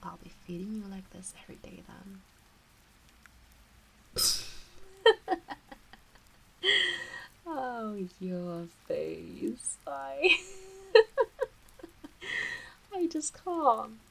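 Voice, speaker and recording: A woman voicing a teasing, doting kitsune witch in an intimate ASMR roleplay, cooing softly and often dropping into whispers. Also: medium pitch, animated, extremely slow, gravelly, pauses often, husky, exaggerated voice. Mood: delighted, cooing.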